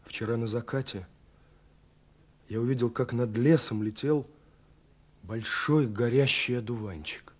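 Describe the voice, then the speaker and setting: A man's voice: mid-range voice, unhurried, pauses often, slightly rough. An actor playing an ardent, poetic young man in love, reading letters with rapt tenderness in a radio play. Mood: hushed tenderness. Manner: rapt, swelling then dropping to hushed tenderness. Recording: 1970s radio production with music. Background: music.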